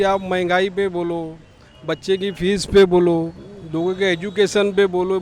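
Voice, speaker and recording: A man with a Mumbai accent giving an indignant, colloquial opinion in a street interview, challenging those in power. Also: high-pitched. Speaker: local resident interviewed on the street. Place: outdoors on an urban street. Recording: outdoor street noise with traffic.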